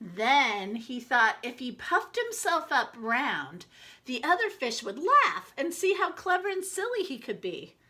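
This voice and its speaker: A woman with a high, bright voice reads a children's storybook aloud. She takes her time, leaning on words with deliberate, dramatic emphasis as a teacher does for young listeners. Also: very animated. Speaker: teacher reading a picture book aloud.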